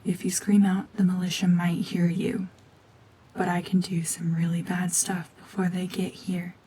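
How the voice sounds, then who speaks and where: Woman doing a mean gorgon witch for asmr roleplay, breathy whispery threats, flat and cold, bit of background noise. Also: on the low side, moderate pace, natural voice. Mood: cold menace. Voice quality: hushed, breathy, half-whispered. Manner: menacing, domineering, sardonic.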